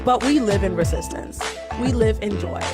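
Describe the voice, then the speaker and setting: Fervent woman over loud hip hop. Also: a little high, normal speed. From Puerto Rico. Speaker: video creator. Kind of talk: impassioned vlog monologue. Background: hip hop music.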